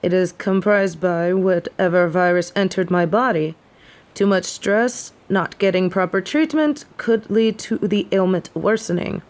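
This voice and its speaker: A woman's voice: mid-range voice, unhurried, darkish tone, natural voice. A woman playing a snobby fallen angel who is sick with a head cold, speaking in formal, archaic phrases for an audio drama. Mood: puzzled, weary.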